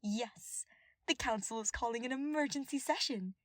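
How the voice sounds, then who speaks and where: A woman voicing a smug, mocking female royal advisor who gloats in a drawn-out, scheming way in an audiobook-style story. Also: on the high side, no echo, voice pushed up, natural voice. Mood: gloating.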